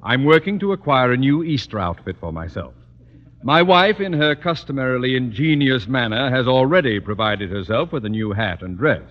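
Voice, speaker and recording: An American actor playing a pompous, stern middle-aged principal in 1950s radio comedy, with formal, clipped diction. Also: male voice, medium pitch, very animated, moderate pace, in a large hall, lightly acted. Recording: muffled old radio broadcast recording.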